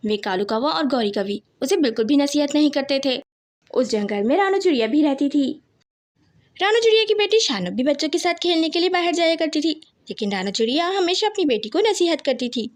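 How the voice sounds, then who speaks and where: A woman with a high-pitched voice narrates a children's tale. She works as the storyteller, reading from a script.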